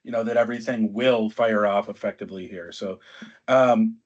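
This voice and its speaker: A man's voice: on the low side, fairly even intonation, moderate pace. A man moderating a business panel discussion as webinar host. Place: online webinar call. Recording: dull, band-limited online call audio.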